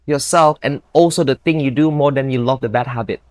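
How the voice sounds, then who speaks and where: A woman's voice: rumbling low, a little fast, a little mellow, no echo. Upbeat Indonesian-accented woman, dry sound. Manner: upbeat, earnest, non-native grammar. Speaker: guest, bodybuilder. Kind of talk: casual interview chat.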